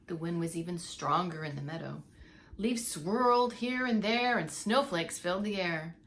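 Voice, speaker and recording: Female preschool storyteller: expressive read-aloud, bright tone, chatty asides, slight noise behind. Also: fairly low pitch, moderate pace. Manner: dramatic emphasis, questioning asides to listeners. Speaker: preschool teacher.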